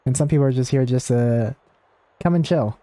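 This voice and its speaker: A man's voice: mid-range voice, normal speed, warm tone. A man streaming a video game, chatting casually with viewers as he comments on what he plays. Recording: game music behind the voice. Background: video game music.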